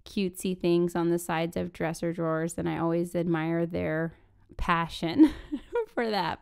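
A woman's voice: lowish voice, vocal fry, a little mellow, smooth. A woman giving a casual, chatty DIY tutorial in an informal, conversational, self-deprecating way. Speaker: DIY furniture-flip vlogger.

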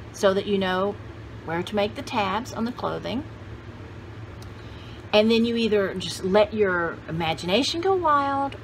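An older woman talks at a slow, unhurried pace in a casual, rambling show-and-tell style. Her pitch sits in the middle for a woman, and she often thinks aloud. The recording is a homemade one with clear background noise. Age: older, about 60s. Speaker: vintage haul video host. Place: small indoor room with cluttered shelves. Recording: clearly noisy home camera recording.

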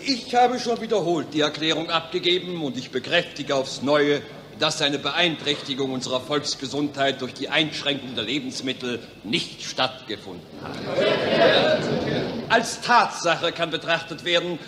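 A man's voice: a little high, lively intonation, moderate pace, natural voice. A man acting a self-assured, officious medical professor, lecturing formally in 1940s radio play style. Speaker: actor. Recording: noisy 1940s radio recording, hall reverb.

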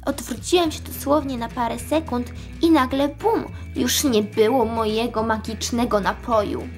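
A woman's voice: on the high side, steady tone, normal speed, faint music behind, natural voice. A woman voicing a worried, kindly old male village leader in a children's toy story, earnest and repetitive. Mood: relieved, anxious.

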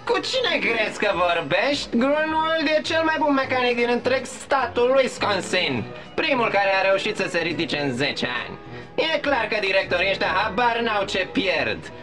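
A man's voice: high-pitched, very animated, bright, forceful tone, faint music behind, heavily acted. A man voicing a boastful, blustering cartoon father in a Romanian dub. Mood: proud. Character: Andy, boy's excitable loudmouth father.

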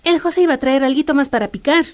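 A woman's voice: high voice, fast talker, natural voice. A woman playing an easygoing, chatty wife in a radio soap, with a Bolivian accent. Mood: soothing, chatty. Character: easygoing, chatty homemaker wife soothing her grumpy husband.

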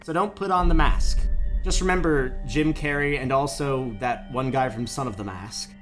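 A man's voice: mid-range voice, moderate pace, fairly bright tone, faint music behind, natural voice. A man playing an affable businessman who rambles through absurd stories in an improvised comedic game fandub. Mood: absurd reminiscing.

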